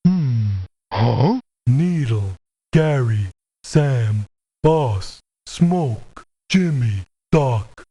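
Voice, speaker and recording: A man's voice: medium pitch, lively intonation, very slow, warm tone, breathy edge, full character voice. A man voicing a grumpy, combative video game mercenary who talks in terse, colloquial, confrontational lines. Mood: flat, terse. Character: grumpy, combative male mercenary, stubborn and folksy. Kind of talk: video game character voice acting.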